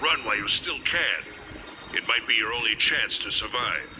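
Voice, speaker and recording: An actor playing a stern, heroic guardian who gives formal, commanding warnings in a dramatic game style. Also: male voice, on the low side, animated, moderate pace, natural voice. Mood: stern, threatening. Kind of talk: dramatic video game acting. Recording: clear background noise.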